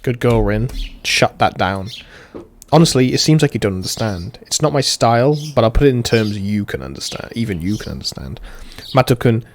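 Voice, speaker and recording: A man's voice: on the low side, lively intonation, a little fast, a little hiss or hum. A man reading a visual novel aloud as a let's player, with informal, cheeky, sweary asides. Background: game music.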